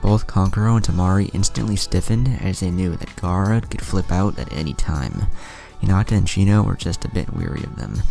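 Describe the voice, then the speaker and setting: A man's voice: low voice, lively intonation, a little fast, gravelly, warm tone, soft background music. A man narrating a fan fiction story, reading prose and dialogue as a storyteller.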